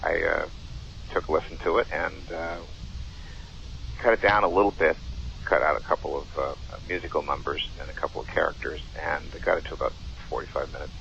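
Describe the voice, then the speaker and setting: A man casually recollecting as a show director, in a halting, rambling manner. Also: rumbling low, fairly even intonation, gravelly, a bit bright. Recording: dull, faintly noisy recording.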